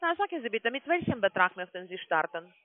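A woman with a non-native German accent gives an explanatory phone sales pitch as a call-centre agent, often adding tag questions to confirm. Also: very animated, fairly quick. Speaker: call-centre agent selling online trading. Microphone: telephone call. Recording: tinny, band-limited phone line.

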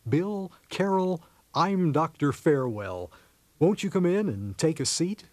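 A man's voice: on the high side, lively intonation, measured pace, darkish tone. A man playing an earnest, therapist-like marriage counselor in a radio comedy sketch. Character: soothing male marriage counselor who translates jargon.

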